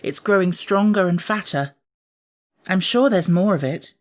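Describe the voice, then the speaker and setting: A man's voice: sits high, a little slow, pitched above the performer's own voice. A man voicing a curious, forthright young girl in a storybook, with a direct, earnest manner.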